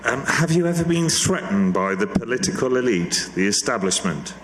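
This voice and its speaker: A man's voice: mid-range voice, sing-song, moderate pace. A man in the audience asking a hesitant question. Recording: distant, echoey hall with noise.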